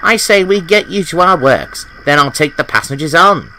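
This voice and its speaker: A man voicing a cheerful, eager, kind-hearted young tank engine in an earnest, excitable way for a children's story. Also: on the high side, moderate pace, slight background noise, pitched above the performer's own voice, poor audio. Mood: determined.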